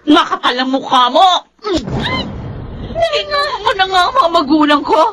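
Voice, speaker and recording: A woman's voice: extremely high pitch, very animated, normal speed, a crowd in the background, background noise. An actress with a Filipino accent playing a spoiled, jealous grown daughter in her twenties, shrieking accusations in a radio drama. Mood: furious, accusing.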